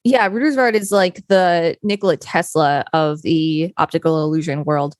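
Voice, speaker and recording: A woman's voice: sits low, fairly even intonation, moderate pace, a little mellow, no echo. A woman co-hosting a podcast, reading encyclopedia text aloud with brief conversational asides. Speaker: podcast co-host.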